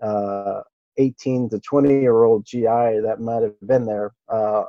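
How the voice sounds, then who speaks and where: A man's voice: lowish voice, fairly even intonation, measured pace, no room sound, muffled recording. A middle-aged man from Okinawa whose first language was Japanese, recalling his childhood as an interview guest in an easygoing, hesitant way with lots of fillers. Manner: easygoing, hesitant, with frequent fillers and soft laughs. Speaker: interview guest, martial artist. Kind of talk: relaxed reminiscing interview talk.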